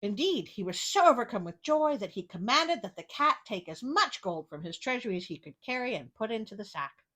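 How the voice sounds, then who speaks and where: A woman storyteller with a bright, forward voice reads a fairy tale aloud. Her pitch moves around a lot as she narrates. She slips into casual, wry side comments with a sardonic edge. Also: sing-song, a little fast. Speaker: storyteller, host of a story show.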